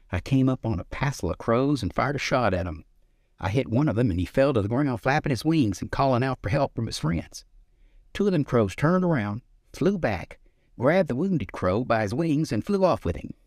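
A man's voice: mid-range voice, darkish tone, no room sound, studio quality, pitched above the performer's own voice. A man voicing a sly, forgetful old country grandfather who talks in folksy rural dialect for a story.

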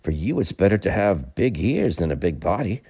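A man voicing a wise, kindly old owl in a storybook tale, giving gentle advice. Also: low-pitched, big pitch swings, moderate pace, slightly rough, dry recording, clean studio sound, voice pushed up, full character voice. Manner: gentle, advising.

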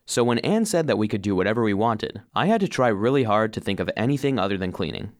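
Picture an earnest robot vacuum telling a children's story. The voice sits fairly low but swings expressively through each line, recorded close and dry. The delivery is scripted, loyal and a little anxious. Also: male voice, sing-song, fast talker, no echo. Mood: earnest, storytelling. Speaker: narrator. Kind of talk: scripted first-person children's story narration.

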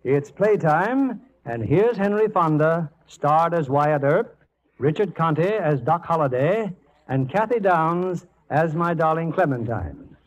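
A man's voice: on the high side, lively intonation, slow. A man hosting and narrating a 1940s radio theatre in a formal, theatrical scripted style. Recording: muffled 1940s radio broadcast.

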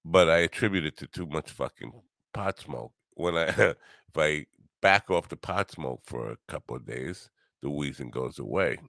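An older man hosting his own podcast in a rambling solo monologue, grumbly and low, wry and self-mocking. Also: animated, normal speed, gravelly, a bit bright, dry recording. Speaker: podcast host.

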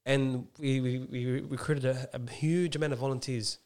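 A man telling stories in casual, animated, self-mocking podcast banter. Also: medium pitch, moderate pace. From Australia, Lebanese background. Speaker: podcast co-host.

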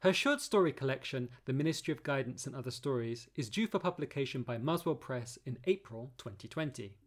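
A man hosts a literary interview podcast. His voice is a little husky and breathy, and his questions come out soft and tentative, with small restarts. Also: medium pitch, lively intonation, fairly quick. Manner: soft-spoken, hesitant follow-up questions. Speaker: podcast host.

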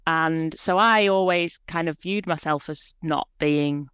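A woman in her forties speaks as a podcast guest, chatty and reflective, often pausing on small fillers. Her voice is smooth and clean, and the recording is close and dry with no room sound. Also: low voice, a bit bright, no room sound. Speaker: guest, proofreader and editor. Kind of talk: conversational podcast interview.

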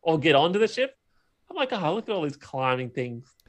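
Higher-pitched man chatting casually about film. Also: sing-song, a little fast. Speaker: podcast co-host.

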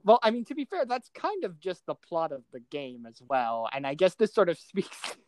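A man with a clear, smooth voice, recorded dry and close. He talks casually as a podcast co-host, animated and quick to laugh, often backing up to restart a thought. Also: high voice, no echo. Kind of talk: casual pop culture discussion.

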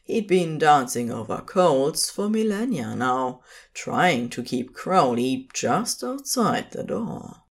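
Slow, expressive fan fiction narrator. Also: mid-range voice, husky. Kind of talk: scripted fan fiction narration, intimate storytelling.

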